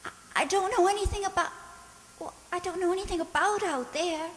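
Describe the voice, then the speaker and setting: A woman as frightened young maid, extremely high pitch, hesitant, naive, radio play, reverberant hall. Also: brisk, fairly bright tone. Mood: fearful. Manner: hesitant, timid, repeats words. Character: frightened, naive young maid held captive, gentle and lovestruck. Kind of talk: radio drama acting.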